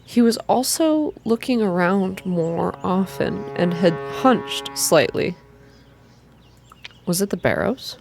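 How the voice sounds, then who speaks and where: A woman reading a story aloud in a soft-spoken, measured, atmospheric ASMR style. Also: mid-range voice, animated, vocal fry, faint music behind. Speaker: reader of a video game story.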